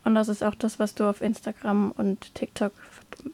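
A woman's voice: medium pitch, monotone, normal speed, vocal fry, dark tone. A woman interviewing a guest, asking friendly, prompting questions.

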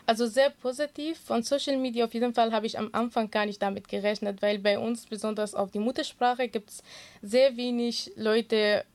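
Earnest woman, Kurdish native, higher voice. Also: fairly even intonation, moderate pace, darkish tone. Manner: earnest, hesitant with many fillers. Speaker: interview guest, swimming instructor. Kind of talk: casual interview answers.

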